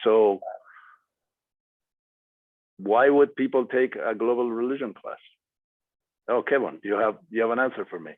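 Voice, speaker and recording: A man speaking as a guest to a class and answering student questions, with many pauses and fillers. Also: medium pitch, no room sound. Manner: hesitant, many fillers and restarts. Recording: dry tinny phone-like audio.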